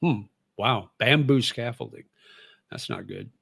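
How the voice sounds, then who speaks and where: A man's voice: mid-range voice, wildly swooping pitch, a little slow, gravelly, fairly bright tone, dry recording. A middle-aged man and former radio host, chatting casually on his livestream and reading viewer comments in a rambling, easygoing way with plenty of fillers.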